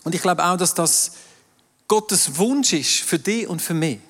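A man's voice: high-pitched, lively intonation, measured pace, a bit bright. A man with a Swiss German accent, French being his first language, preaching an emphatic sermon to a live congregation in a large hall. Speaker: pastor. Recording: distant voice in a reverberant hall with crowd.

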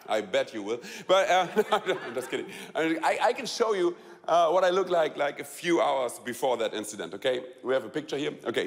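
A man with a German accent tells a personal story to a live audience, halting and repeating words, laughing often and asking the audience questions. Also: on the high side, in a large hall. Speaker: speaker telling a personal story.

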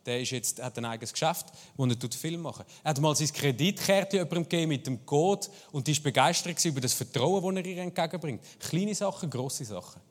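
A man's voice: a little high, fast talker, few pauses, big-room sound. A man preaching a sermon and leading a guided prayer, earnest and searching, with small restarts.

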